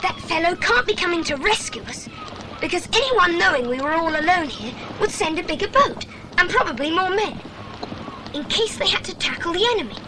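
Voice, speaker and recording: A woman playing a bold, quick-thinking British boy adventurer, urgent and decisive, in a children's audio drama. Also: very high voice, wildly swooping pitch, heavy background noise, heavily acted. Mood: scheming.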